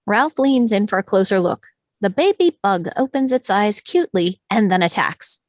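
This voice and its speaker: A woman narrates an animated film script, reading the stage directions aloud. Her voice sits at a medium pitch and sounds dry, with no room around it. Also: moderate pace, dry recording.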